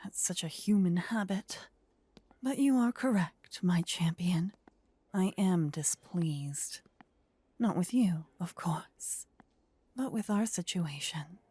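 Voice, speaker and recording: A woman playing a possessive, warlike demon queen in an intimate ASMR roleplay, speaking in a breathy whisper with measured, formal, regal phrasing. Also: fairly low pitch, lively intonation, dark tone, no echo, heavily acted. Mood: seething, displeased, then tender, grateful.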